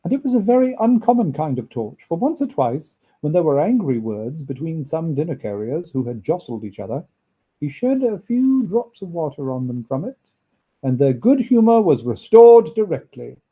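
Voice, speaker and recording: A man reading a classic story aloud as an expressive narrator. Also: fairly high pitch, moderate pace, husky, poor audio. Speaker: audiobook reader, narrator.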